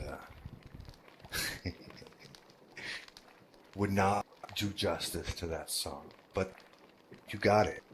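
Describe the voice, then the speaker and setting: Slow, deep-voiced man with many pauses. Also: fairly bright tone, slight background noise. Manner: halting, with many fillers and restarts. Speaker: roleplay game world organizer. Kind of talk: casual talk to a roleplay group.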